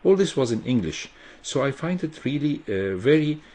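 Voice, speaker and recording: A man hosting a radio interview, asking long, formal questions with many halting fillers. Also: medium pitch, sing-song, warm tone. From Egypt. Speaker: radio show host. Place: radio studio.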